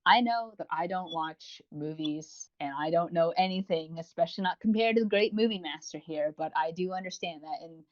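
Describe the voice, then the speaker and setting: A man's voice: on the high side, moderate pace, few pauses, muffled recording. A man co-hosting a podcast in casual chat, rambling and gushing about what he reviews, with self-mockery and casual swearing. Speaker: podcast co-host. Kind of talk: casual chat, reviewing audio roleplay series.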